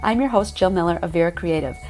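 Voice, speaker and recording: A woman's voice: on the low side, quick pace, darkish tone, soft background music. A woman in her thirties hosting a small-business podcast, chatty and thinking aloud with fillers. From Chicago area, United States. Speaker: podcast host. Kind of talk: interview podcast.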